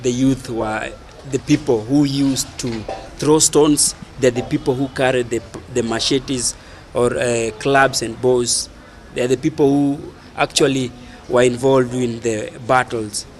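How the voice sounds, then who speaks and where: A young man with a Kenyan accent answering an interview casually, in short halting phrases. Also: medium pitch, fairly even intonation. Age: young adult. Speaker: youth workshop participant being interviewed. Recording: faint outdoor urban noise.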